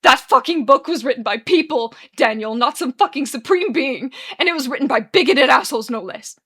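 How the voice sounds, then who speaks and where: A woman's voice: a little high, huge pitch swings, a little fast, gravelly, pushed and bright, slightly rough, exaggerated voice. A woman voicing an anguished, furious young man in a dramatic story, with explosive, wailing outbursts and cursing.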